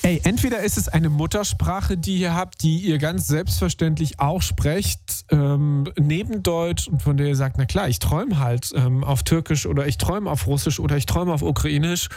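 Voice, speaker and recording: A man's voice: a little high, moderate pace, few pauses, a little mellow, no echo, soft background music. Upbeat slangy male radio host. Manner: upbeat, slangy, chatty with filler sounds. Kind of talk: casual call-in radio talk. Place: radio studio. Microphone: studio microphone.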